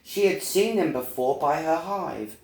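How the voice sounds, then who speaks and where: A man narrating a children's storybook in a deliberate, expressive storytelling manner, recorded in an echoey room. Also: on the high side, vocal fry. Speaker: storyteller reading a children's book.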